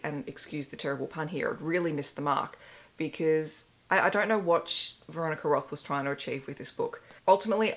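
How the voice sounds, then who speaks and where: A woman in her thirties with a low, hoarse voice that sounds worn thin. She chats casually as a book vlogger, candid and quick to poke fun at herself. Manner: chatty and candid, with self-deprecating asides. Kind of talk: casual book wrap-up vlog.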